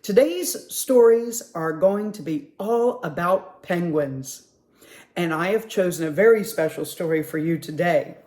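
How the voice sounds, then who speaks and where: A man reading a picture book aloud at a children's story time, with a gentle, expressive manner. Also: sits high, unhurried.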